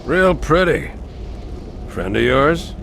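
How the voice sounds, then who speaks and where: A stern game marine captain, curt and commanding. His voice is fairly high and moves animatedly, with clear noise around it. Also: sing-song, slow, full character voice. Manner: curt, authoritative. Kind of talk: video game cutscene acting.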